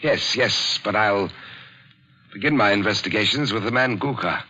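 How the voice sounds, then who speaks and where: An actor playing a skeptical, reasoning amateur detective in a 1940s radio drama, working through theories aloud. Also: male voice, lowish voice, normal speed, sharp tone. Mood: measured. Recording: dull lo-fi 1940s radio recording.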